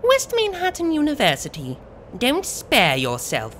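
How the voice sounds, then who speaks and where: A man's voice: very high-pitched, huge pitch swings, a little slow, fairly bright tone, a little hiss or hum, voice pushed up, heavily acted. A man voicing a haughty, middle-aged upper-class pony mare who gives imperious orders in an audiobook story.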